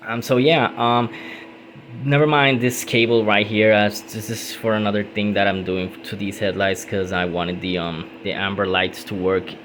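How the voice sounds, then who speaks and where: A young man from Puerto Rico with a Puerto Rican Spanish accent, walking through a car headlight wiring fix in a casual tutorial, pausing often with ums. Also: lowish voice, little pitch movement, a bit bright, a little hiss or hum. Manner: casual, halting, many ums and restarts. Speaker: car modding hobbyist. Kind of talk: hands-on how-to tutorial.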